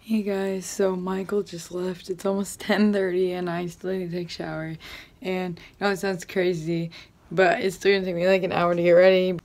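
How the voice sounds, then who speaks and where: A woman's voice: fairly low pitch, flat delivery, normal speed, vocal fry, a little mellow. A teenage girl vlogging casually, rambling with lots of filler words, filmed on a phone at arm's length at home and in a car. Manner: chatty, rambling with many "like" fillers. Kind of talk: casual vlog chat.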